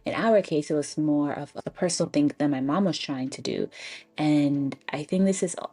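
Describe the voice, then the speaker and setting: A young American woman telling personal stories in a casual, reflective, conversational way, pausing for emphasis. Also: deep voice, mellow. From Ghana and the Bronx, US. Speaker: personal finance storyteller.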